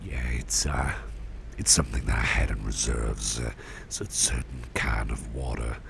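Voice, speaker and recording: Man acting as a very deep, whispering, caring boss. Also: fairly even intonation, heavily acted. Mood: reassuring, unhurried. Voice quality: breathy near-whisper with heavy low creak. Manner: gentle, unhurried, reassuring, hesitant pauses. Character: caring, protective male boss tending to his employee. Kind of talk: soft-spoken whispered ASMR roleplay. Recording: close recording with clear background noise.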